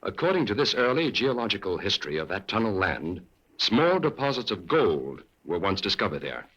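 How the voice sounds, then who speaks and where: Commanding old-radio investigator, brisk, lively pitch, bright husky voice. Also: male voice, highs cut off, heavily acted. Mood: explaining. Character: determined male crime-fighting investigator, commanding. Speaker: radio drama lead. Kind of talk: 1940s radio acting.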